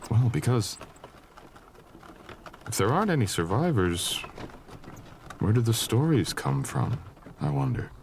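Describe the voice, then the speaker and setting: A man playing a mysterious, courteous wandering traveler in a soft-spoken romantic fantasy audio roleplay, with old-fashioned, teasing phrasing. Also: lowish voice, wildly swooping pitch, unhurried, long pauses, a little hiss or hum, heavily acted. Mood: intriguing, low.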